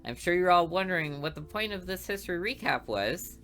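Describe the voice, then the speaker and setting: A woman's voice: fairly low pitch, animated, brisk, fairly bright tone, soft background music. A woman doing a stern, weary female teacher. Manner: strict and commanding.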